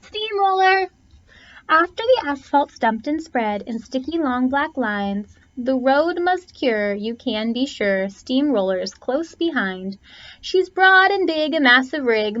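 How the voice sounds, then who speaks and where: Female storybook reader, high voice with very lively swings, expressive rhyming read-aloud, slightly muffled lo-fi recording. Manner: theatrical phrasing, stretches and punches key words. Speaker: teacher reading a picture book aloud. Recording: dull, lo-fi sound.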